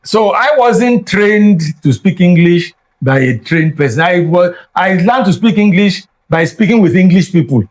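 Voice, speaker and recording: Older Nigerian-accented man, native Yoruba speaker, interview guest, emphatic lecturer, slightly high voice. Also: animated, unhurried, few pauses. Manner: emphatic and lecturing, repeats words for stress. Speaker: guest scholar. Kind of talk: reflective interview talk.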